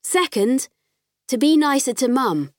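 A woman playing a spirited, candid farm girl, narrating her diary in an expressive, conversational audiobook style. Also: high-pitched, a little slow, no room sound, studio quality. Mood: resolute.

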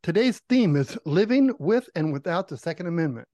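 A man co-hosting a talk radio show, briskly reading a scripted guest introduction. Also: fairly high pitch, sing-song, mellow, no echo, studio quality.

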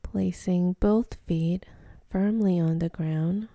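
Slow, very mellow woman guiding meditation. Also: fairly low pitch, steady tone, no room sound, studio quality. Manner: soothing, gentle, unhurried, with long pauses. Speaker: meditation guide. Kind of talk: guided meditation, soft spoken instruction.

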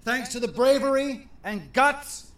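A man's voice: extremely high pitch, measured pace, a bit bright, distant mic. An actor playing an effusive male event host in an audio drama. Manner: effusive showman.

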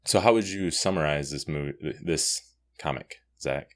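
Man, very low bass voice, podcast host, casual review chat. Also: normal speed. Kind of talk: casual comic review discussion.

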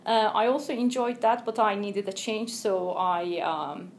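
A woman from Turkey, a researcher guest answering interview questions in a careful, explanatory way with thoughtful pauses. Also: mid-range voice, steady tone, a little hiss or hum.

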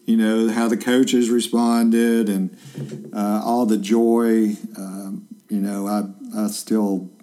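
A man's voice: fairly low pitch, little pitch movement, slow pace, warm tone. A man in his fifties, a journalist hosting a casual interview, chatty and rambling with lots of fillers and restarts. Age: 50s.

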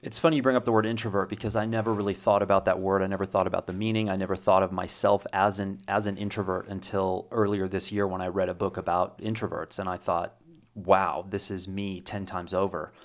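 A man with a low voice hosting an interview, talking quickly. He is chatty and enthusiastic, tripping over and restarting his sentences. He is recorded on a desk mic. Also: level tone. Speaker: podcast host, interviewer. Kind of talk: scripted intro, then casual interview.

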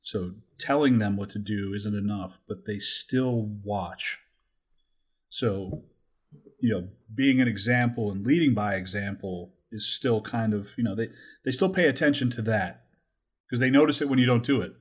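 Husky low-voiced man in his forties. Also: moderate pace. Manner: rambling, joking, casually crude. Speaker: podcast host. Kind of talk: casual podcast chat.

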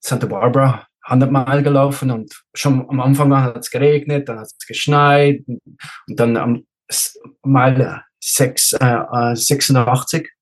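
Man, Swiss German accent, husky voice, mid pitch, halting casual storytelling, occasional English words. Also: steady tone. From Switzerland. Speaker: interview guest, ultrarunner and race director. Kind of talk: casual chat, telling anecdotes.

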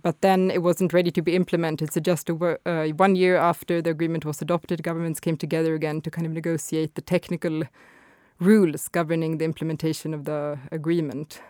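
A woman guest explaining climate policy in an interview, measured with some hesitations. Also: low-pitched, level tone, warm tone, dry recording, clean studio sound. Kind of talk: expert interview answers.

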